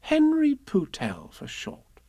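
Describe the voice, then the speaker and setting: A man voicing a calm, thoughtful young boy in a children's storybook, speaking in a considered, matter-of-fact way. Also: very high-pitched, takes it slowly, dry recording, voice pushed up, heavily acted. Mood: pondering.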